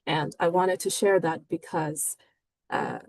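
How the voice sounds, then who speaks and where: A woman's voice: lowish voice, huge pitch swings, slow pace, vocal fry, a little mellow, no room sound. A woman from Bangladesh moderating a panel discussion, hesitant and full of fillers.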